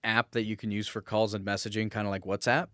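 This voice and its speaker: A man's voice: on the low side, little pitch movement, fast talker, fairly bright tone, dry recording, clean studio sound. A man co-hosting a podcast in casual comedic banter, joking with crude humour. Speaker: podcast co-host.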